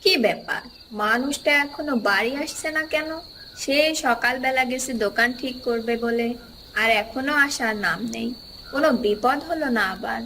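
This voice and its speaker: Bright, high-voiced village wife played by an actress. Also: female voice, normal speed, a little hiss or hum, low-quality recording. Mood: worried. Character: village tea seller's caring, fretful young wife. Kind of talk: cartoon voice acting. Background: faint music.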